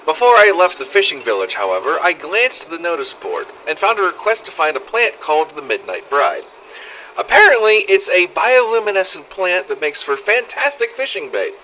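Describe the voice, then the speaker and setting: A man playing a weary, sardonic, foul-mouthed survivor of a zombie apocalypse, recording expressive first-person audio logs in a game-style drama. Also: high-pitched, normal speed, a little hiss or hum, full character voice, rough recording. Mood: wry recounting. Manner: sardonic, expressive, emphatic swearing.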